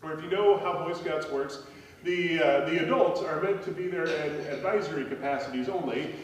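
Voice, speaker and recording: A man's voice: fairly high pitch, normal speed. A man in his forties, a pastor preaching a conversational sermon from a pulpit, telling stories with a few fillers. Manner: conversational storytelling with gestures, frequent fillers. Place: church sanctuary, at a pulpit. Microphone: pulpit microphone, filmed by a far camera. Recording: echoey church hall, voice from a distance.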